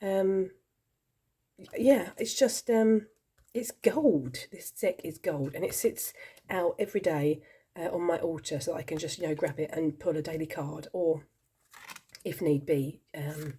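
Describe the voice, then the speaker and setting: A woman talking to camera in a rambling, chatty way with lots of ums, her voice croaky from a cold. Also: sits low, unhurried, dark tone. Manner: chatty, rambling, self-deprecating, many ums. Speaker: tarot vlogger. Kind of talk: casual chat to camera.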